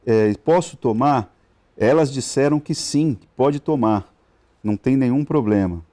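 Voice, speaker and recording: Hesitant male TV host, mellow voice. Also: mid-range voice, measured pace. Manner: hesitant, many fillers and restarts. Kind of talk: live TV interview. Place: TV studio.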